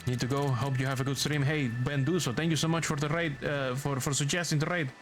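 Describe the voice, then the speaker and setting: A man from Venezuela with a thick Maracaibo accent streams a game and talks casually to his chat. He is a native Spanish speaker with a playful, chatty manner. His voice sits at a middle pitch, and game music plays clearly behind him. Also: steady tone, fairly quick. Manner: chatty, playful, reads on-screen text aloud. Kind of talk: casual live-stream commentary while gaming.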